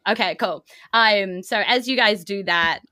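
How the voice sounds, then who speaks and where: A woman's voice: mid-range voice, measured pace, sharp tone, slight background noise. A woman improvising vivid, informal narration as the game master of a tabletop game.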